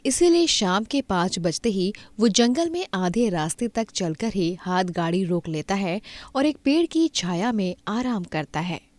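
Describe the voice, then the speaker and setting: A woman's voice: mid-range voice, expressive, a little slow, a little mellow, no room sound. A woman reading scripted narration for a moral cartoon story as its storyteller.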